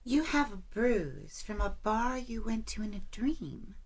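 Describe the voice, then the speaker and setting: A woman's voice: fairly high pitch, sing-song, a little slow, husky, heavily acted. A woman playing a smart, sardonic college student in an audio drama, dry, blunt and teasing. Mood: skeptical, mocking.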